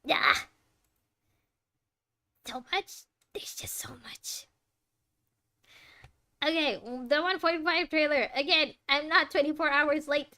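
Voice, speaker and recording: A young woman giving casual, excitable live commentary as a streamer, often exclaiming. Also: high-pitched, takes it slowly, long pauses. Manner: expressive, exclaiming. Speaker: streamer reacting to game trailers. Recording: loud game music behind. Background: game trailer music.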